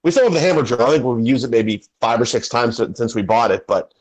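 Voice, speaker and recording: A man's voice: medium pitch, fast talker, rough recording. A middle-aged man chatting casually on a podcast. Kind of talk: casual chat.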